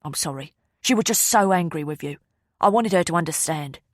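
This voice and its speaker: A woman voicing a gentle, apologetic young man who speaks softly. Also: low-pitched, animated, a little fast, dry recording, clean studio sound. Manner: soft, apologetic, earnest.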